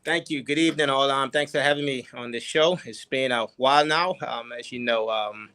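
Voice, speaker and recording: A man from the Turks and Caicos Islands, a guest entrepreneur answering interview questions in a casual, hesitant way with many pauses and ums. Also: mid-range voice, bright, forceful tone, clear, resonant tone.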